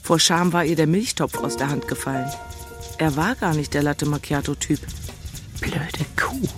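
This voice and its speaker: An actress plays a brooding woman in her forties, exasperated with herself. Her voice is low for a woman, heard in a radio drama monologue with faint music behind it. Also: moderate pace, close to an everyday voice. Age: 40s. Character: self-reproaching, agitated single woman over forty.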